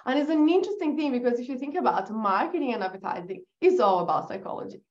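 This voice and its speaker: A woman from Brazil with a Brazilian accent, a guest telling her story in a relaxed podcast interview, laughing easily and restarting her sentences. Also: on the high side, fast, dull audio. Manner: chatty, laughs often, restarts. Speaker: guest, agency founder.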